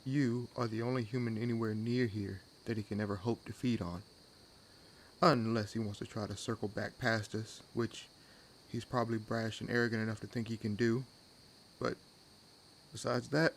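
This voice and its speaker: A man playing a centuries-old, wry and world-weary vampire in an audio roleplay, musing dryly to his companion with unhurried pauses. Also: fairly low pitch, close to an everyday voice. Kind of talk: audio roleplay acting addressed to the listener. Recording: clear background hiss and night ambience. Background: added forest ambience with crickets and insects.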